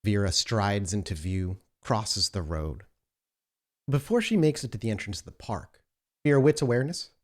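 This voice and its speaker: Low-voiced man narrating a tabletop game. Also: animated, normal speed. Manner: descriptive, scene-setting narration. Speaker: storyteller, game master.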